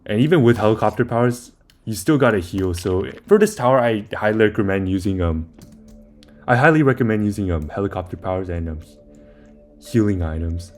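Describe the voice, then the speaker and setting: Lower-pitched young man doing casual game commentary. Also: lively intonation, brisk, darkish tone, soft background music. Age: young adult. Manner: informal, rambling, talks through steps as playing. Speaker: video maker giving game guide.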